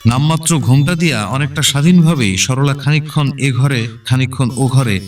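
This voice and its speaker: A man's voice: mid-range voice, normal speed, recorded from a distance. A man reading literary narration in a dramatic, scripted audio-story style. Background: background instrumental music.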